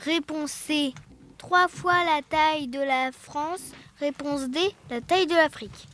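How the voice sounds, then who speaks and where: A woman's voice: sits high, unhurried, background noise. A young girl presenting and narrating a scripted radio show. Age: child.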